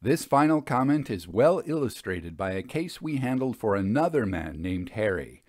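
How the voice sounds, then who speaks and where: A man narrating a scripted story in a measured, expressive, bookish manner. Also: on the low side, no room sound, studio quality.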